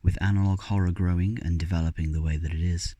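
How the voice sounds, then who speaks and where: A man presents a scripted video essay as its host. His voice sits low, and the recording is dry with hardly any room sound. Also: fairly even intonation, quick pace, dark tone, no echo, lo-fi sound.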